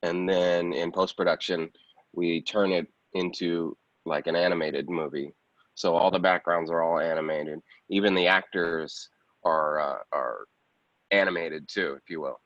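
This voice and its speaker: A man with a low voice speaks casually as an interview guest. The sound is roomy and slightly muffled. His phrasing is loose and conversational. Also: steady tone, a little slow, a bit bright. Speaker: guest, actor and film producer.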